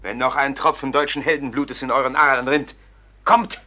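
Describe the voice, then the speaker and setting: An actor playing a boastful young schemer who dreams feverishly of grand plans, declaiming excitably in a classical radio play. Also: male voice, medium pitch, normal speed. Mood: rousing.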